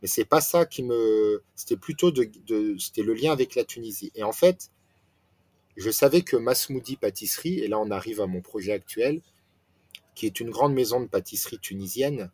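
Male guest, forties, from France: fairly low voice, casual explanatory storytelling, punctuated by self-posed questions. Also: expressive, moderate pace, a little mellow, slightly rough. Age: 40s. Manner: explanatory, poses questions to himself and answers them. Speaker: interview guest, entrepreneur. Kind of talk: casual personal storytelling in an interview.

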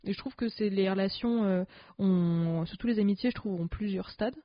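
A woman's voice: mid-range voice, moderate pace, no room sound. A woman co-hosting a casual podcast with a friend, rambling and full of fillers. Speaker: podcast co-host. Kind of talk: casual chat between friends. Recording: dry, slightly dull sound.

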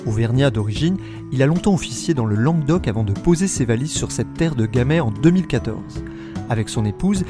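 Male voice-over, scripted intro, guitar music under it. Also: mid-range voice. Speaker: narrator. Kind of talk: scripted narration.

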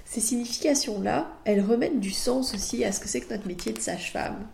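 Mellow French woman podcaster in a roomy space. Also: on the high side, brisk, creaky, a little hiss or hum. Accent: French (France). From France. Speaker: podcast host and midwife. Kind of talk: podcast monologue.

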